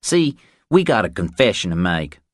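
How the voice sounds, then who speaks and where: A man voicing a cocky, excitable young Texas ranch hand in an audiobook, taunting in folksy slang. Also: deep voice, moderate pace, no echo, voice pushed up. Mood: smug.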